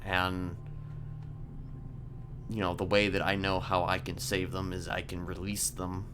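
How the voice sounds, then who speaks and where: Man playing a low, slow-talking sinister criminal. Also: completely flat delivery, music in the background. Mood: measured, confessional. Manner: halting, measured, deliberate, formal wording. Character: soft-spoken sinister male criminal manipulator, calmly confessing. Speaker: roleplay streamer. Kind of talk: improvised in-character game roleplay.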